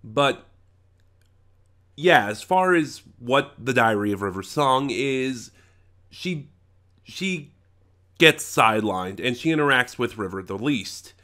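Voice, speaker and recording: Stuffy-nosed man with an animated, swinging pitch. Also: slow, long pauses. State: stuffy from a head cold. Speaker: reviewer. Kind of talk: unscripted audio drama review, casual opinionated talk.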